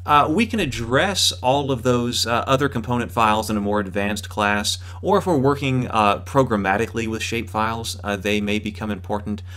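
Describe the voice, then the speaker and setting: A man in his thirties giving an explanatory lecture as a course instructor, with a hesitant, halting delivery and emphatic repetition. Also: fairly low pitch, a bit bright.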